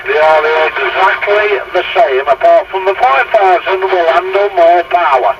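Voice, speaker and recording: A man's voice: sits high, measured pace. A man chatting casually over a CB radio transmission. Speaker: CB radio contact. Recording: dull, distant, very noisy CB radio. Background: loud radio noise.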